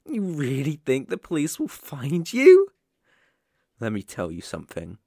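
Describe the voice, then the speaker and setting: A man playing a sadistic, obsessive young kidnapper in an ASMR roleplay, gloating with menacing, mocking, drawn-out phrasing. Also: on the high side, wildly swooping pitch, warm tone, no room sound, studio quality, heavily acted.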